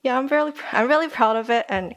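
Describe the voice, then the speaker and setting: A woman's voice: a little high, quick pace. A young woman from Taiwan, a design student who co-hosts her own podcast, chatting as a guest with many fillers and frequent laughs. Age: young adult. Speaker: podcast host, guest here. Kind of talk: casual chat.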